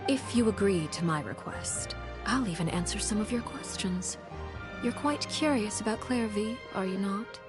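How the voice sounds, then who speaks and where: A woman voicing a cool, calculating young woman of power in a video game, with a measured, formal and condescending manner. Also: medium pitch, expressive, music in the background, natural voice. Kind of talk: video game character dialogue.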